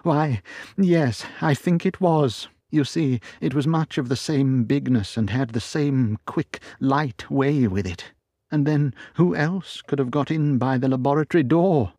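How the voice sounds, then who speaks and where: A man's voice: medium pitch, a little slow, warm tone, dry recording, clean studio sound, voice pushed up. A man putting on a frightened old butler. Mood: earnest. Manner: anxious, pleading, earnest. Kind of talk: storybook reading.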